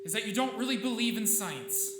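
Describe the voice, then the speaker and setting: A man's voice: high-pitched, sing-song, brisk, very noisy. An actor playing a proud, obsessive middle-aged scientist and father in a theatrical, declamatory old-time radio style. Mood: proud explaining. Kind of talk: live old-time radio drama acting. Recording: roomy live stage, loud music behind.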